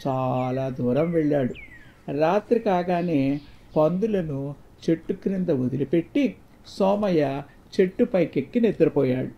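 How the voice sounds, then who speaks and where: A man reading a scripted moral folk tale as a storyteller. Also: on the high side. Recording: dull, muffled lo-fi sound.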